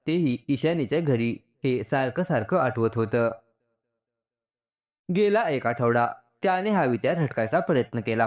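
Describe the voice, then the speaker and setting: A man reading a scripted story aloud as a storyteller. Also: mid-range voice.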